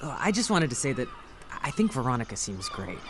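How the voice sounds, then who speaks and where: A man's voice: medium pitch, very animated, fairly quick, noisy background, lightly acted. An actor playing a kind, self-deprecating young man in an audio drama, hesitant and rambling as he talks. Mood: eager, chatty. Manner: rambling, hesitant, nervous chuckles. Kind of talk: audio drama dialogue acting.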